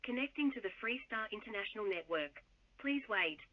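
A woman's voice: mid-range voice, lively intonation, brisk, dry recording. A woman's automated voice reading out a phone system announcement. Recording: dull lo-fi phone speaker playback.

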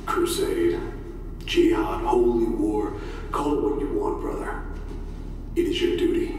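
Fanatical soldier prisoner, game audio log, preachy, deliberate delivery, distant mic, heavy echo. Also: male voice, medium pitch, huge pitch swings. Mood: fervent. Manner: preachy, solemn, slow and deliberate. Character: fanatical, devout soldier prisoner, menacing and certain. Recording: echoey hall from far off, faint noise.

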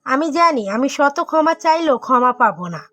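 Woman as high, pleading cornered wrongdoer. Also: big pitch swings, normal speed, fairly bright tone, no room sound. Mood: desperate pleading. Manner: pleading, emotional. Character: cornered wrongdoer begging for mercy, secretly spiteful. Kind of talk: dramatised audio story. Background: music.

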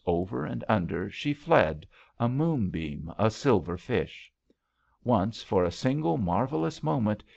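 Male audiobook narrator, gravelly and expressive, literary fiction, slightly muffled recording. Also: medium pitch. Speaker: audiobook reader.

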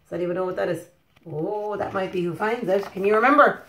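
A woman's voice: medium pitch, a little fast. Irish woman reading to children, roomy sound. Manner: animated, questioning, encouraging. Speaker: preschool teacher. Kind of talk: interactive storybook reading for young children. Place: living room indoors. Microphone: phone at about arm's length. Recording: roomy home phone recording.

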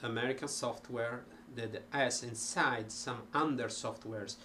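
Italian-accented guy, middle-aged, talks real slow with lots of ums, desk webcam at home, bit of faint hum. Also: medium pitch, fairly even intonation, bright, forceful tone. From Italy. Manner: slow, rambling, many ums and restarts. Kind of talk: casual talk to camera. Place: cluttered home music room.

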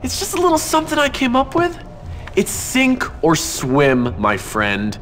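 A man's voice: extremely high pitch, huge pitch swings, measured pace, a bit bright, a little hiss or hum, exaggerated voice. An actor playing a manipulative, mocking teenage boy in a video game, with sarcastic, theatrical, mock-friendly delivery. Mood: needling, scheming. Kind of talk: video game cutscene acting.

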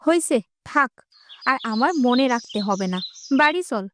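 A woman's voice: high voice, animated, normal speed, slight background noise. A woman playing a protective young village girl in a cartoon, emphatic and bossy in a familiar way. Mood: sulky. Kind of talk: Bengali fairy-tale cartoon dubbing.

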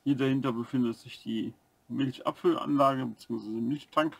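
A man co-hosting a building-set review, explaining the features step by step in a relaxed casual way. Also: mid-range voice, moderate pace, vocal fry, husky, no echo. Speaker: co-host of a brick-building review channel.

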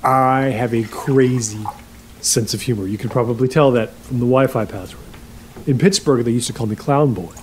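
An actor in an audio drama playing an awkward, apologetic male guesthouse host, with a hesitant, stammering and halting delivery. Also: mid-range voice, a little hiss or hum, natural voice. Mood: awkwardly friendly. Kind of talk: naturalistic audio drama acting.

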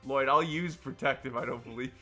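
Bright-voiced, excitable man gaming with friends. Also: mid-range voice, a little fast. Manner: excitable, teasing, often laughing. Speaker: online video game player. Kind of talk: casual gaming banter with friends. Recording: game music behind the voice. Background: video game battle music.

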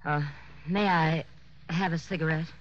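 An actress playing a tense American singer in trouble, hesitant and dramatic in 1940s radio acting style. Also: female voice, sits low, steady tone, a little slow. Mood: shaken. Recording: noisy, muffled vintage radio recording.

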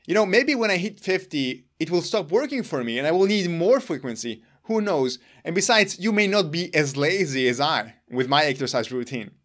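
A man's voice: a little high, fairly bright tone. A man in his thirties whose first language is Hebrew, earnestly explaining his own exercise routine to camera, gesturing as he talks. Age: 30s. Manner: earnest, gestures with his hands while talking. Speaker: wellness channel host. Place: indoor room in front of gray curtains.

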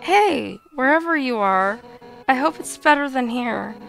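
A woman voicing a brash, warm-hearted female warrior fish creature in a blunt, informal way for a video game. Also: sits high, expressive, unhurried, creaky, soft background music, full character voice. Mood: heartfelt. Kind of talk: video game dialogue reading.

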